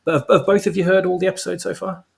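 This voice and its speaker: A man's voice: sits high, little pitch movement, racing, creaky. A man speaking as a guest in a casual chat, explaining things in a hesitant, rambling way. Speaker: guest, fan fiction author.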